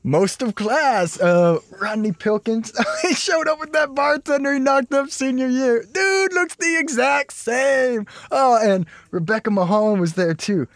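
A man's voice: very high-pitched, animated, measured pace, hardly any pauses, no room sound, exaggerated voice. A man acting a laid-back, chummy new-age yoga teacher in his late twenties in a radio drama, slangy and effusive. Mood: nostalgic, upbeat. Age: late 20s.

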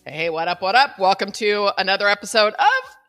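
A woman's voice: mid-range voice, very animated, brisk, a bit bright, soft background music. A middle-aged woman hosting a podcast, chatting casually with frequent laughs and asides. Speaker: podcast host.

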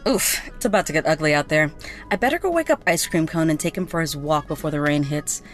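Breezy, animated, confident woman. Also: sits low, quick pace, faint music behind. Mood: reassuring. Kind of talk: naturalistic audio drama acting.

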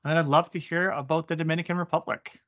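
A man hosting a podcast interview, upbeat and quick with enthusiastic interjections. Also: on the high side, fairly even intonation, fairly bright tone. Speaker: podcast host.

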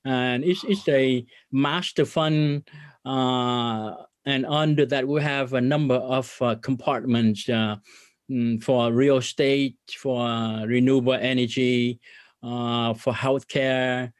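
A man's voice: mid-range voice, fairly even intonation, no room sound. Earnest Vietnam-born businessman, dry sound. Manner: earnest, many filler pauses, religious phrasing. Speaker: guest business executive. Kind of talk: podcast interview.

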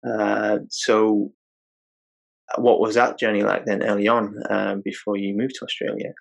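A man hosting a relaxed interview, easygoing and conversational. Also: fairly low pitch, a little slow, creaky, poor audio. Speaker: podcast host. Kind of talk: casual interview chat.

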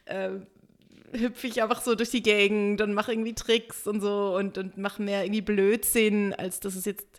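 A woman telling personal stories in a casual podcast chat, giggly and quick to act out what people said. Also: mid-range voice. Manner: giggly, animated storyteller who acts out quotes. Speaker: podcast co-host and stripper.

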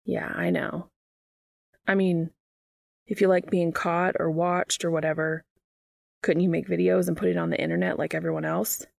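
Woman reading as a gravelly, teasing, flirty guy. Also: on the low side, level tone, normal speed, long pauses, no room sound.

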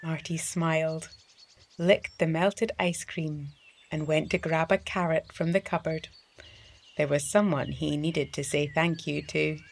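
A woman reading a story for young children in an expressive, deliberate storytelling manner, as the host of a story series. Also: low voice, vocal fry, slight background noise.

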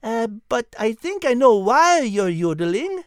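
A woman's voice: a little high, very animated, a little slow, no echo, clean studio sound. A woman voicing a refined, learned female cat with a French accent and a prim, precise manner in a children's audio drama. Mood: prim, patient. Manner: prim, precise, patient, schoolteacherly.

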